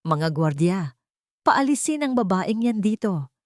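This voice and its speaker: A woman's voice: medium pitch, big pitch swings, a little slow, dry recording, clean studio sound. A woman voicing a haughty, insincere society matriarch in a pompous speechmaking manner for an audio drama. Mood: panicked, commanding.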